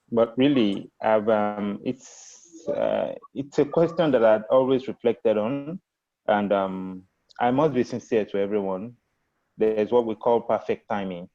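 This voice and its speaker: Nigerian man, low mellow voice, measured panel talk with pauses, slightly dull call audio. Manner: hesitant, reflective, many fillers. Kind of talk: panel discussion.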